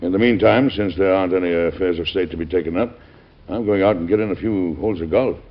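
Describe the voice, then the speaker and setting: A man's voice: low voice, fast, lightly acted. An actor playing a blustery, boyish middle-aged President and family man in old-time radio comedy style. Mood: genial. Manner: indignant sputtering, mock-pompous. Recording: dull, hissy old radio recording.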